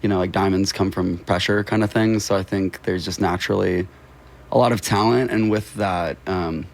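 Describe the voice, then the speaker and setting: American dude w a really deep voice, chill n slangy, just chatting in an interview. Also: male voice, fairly even intonation, normal speed, vocal fry. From Seattle, United States. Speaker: interview guest, local musician and label co-founder. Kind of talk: casual interview talk.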